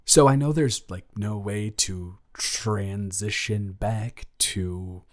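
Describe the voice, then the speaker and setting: A man playing a flustered young best friend in love, halting and self-deprecating, in an improvised romantic audio roleplay. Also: lowish voice. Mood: tender, hesitant.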